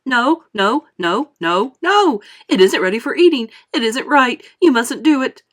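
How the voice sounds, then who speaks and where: A woman's voice: mid-range voice, expressive, a little fast, fairly bright tone, lightly acted. A woman reading as a rueful eccentric candy maker. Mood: fretting. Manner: sighing, rueful, matter-of-fact.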